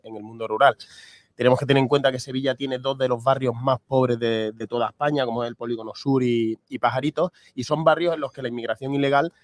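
A man's voice: medium pitch, dry recording. A man with an Andalusian accent answering interview questions as a candidate, emphatic and repeating his phrases. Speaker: political candidate, interview guest.

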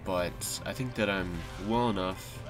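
A young man with a low, deep voice, talking casually over a video game while he is sick. His voice is stuffy and nasal, and he rambles with frequent fillers and restarts. Game music plays steadily behind him. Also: steady tone, a little slow. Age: young adult. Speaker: YouTube gamer. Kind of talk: casual gameplay commentary. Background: game music and sounds.

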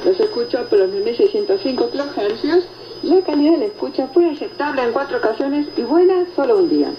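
A woman's voice: high voice, sing-song, fairly quick. Woman radio host on noisy shortwave. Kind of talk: reading and answering listener reports, conversational radio presenting. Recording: noisy shortwave radio reception, muffled, echoey. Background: loud static noise.

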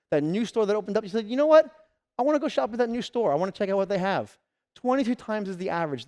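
A fairly high-voiced man in his thirties delivers a keynote from a large conference stage. He tells everyday shopping stories and slips into quick voices for the customer and the salesperson. He speaks into a headset mic. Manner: lively storytelling, acting out customers and salespeople.

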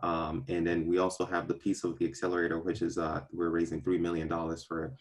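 A young man from Ghana raised in Brooklyn, answering interview questions on a video call from a park, earnest and measured with frequent ums and restarts. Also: low voice, monotone, gravelly. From born in Ghana, raised in Brooklyn. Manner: earnest, measured, many ums and repeated starts. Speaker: venture capital partner, guest. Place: outdoors in a park. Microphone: video call webcam.

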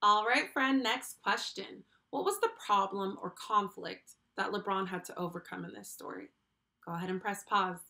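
A woman's voice: medium pitch, normal speed, creaky. Young adult woman, children's educator, mid-range voice, upbeat encouragement, direct address. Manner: encouraging, animated praise. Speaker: children's read-along host and school speech therapist. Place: small furnished room at home, indoors.

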